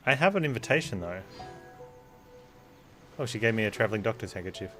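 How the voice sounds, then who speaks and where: A man's voice: on the low side, lively intonation, fairly quick, over music. A man giving casual live gameplay commentary, thinking aloud and chatting with viewers. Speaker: game streamer.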